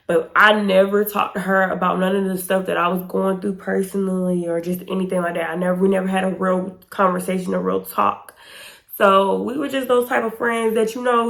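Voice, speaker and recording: A woman in her twenties with an American accent, chatting casually and emphatically in a storytime vlog, her voice slightly hoarse and stuffy-nosed. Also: mid-range voice. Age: 20s. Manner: informal, slangy, chatty and emphatic. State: congested and slightly hoarse from pollen. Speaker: YouTube storytime vlogger. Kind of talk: casual storytime chat to camera.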